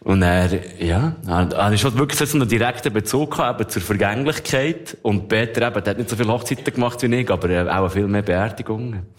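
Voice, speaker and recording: A man's voice: on the low side, fast, hardly any pauses. A man in his thirties with a Swiss German accent, a guest telling stories in casual conversation, laughing often and restarting his sentences. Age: 30s.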